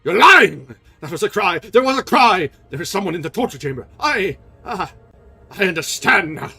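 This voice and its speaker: A man voicing a furious, menacing monster of a man in a loud, snarling voice for a storybook reading. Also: a little high, big pitch swings, unhurried, soft background music, voice pushed up, exaggerated voice. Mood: suspicious. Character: furious menacing male monster, jealous captor.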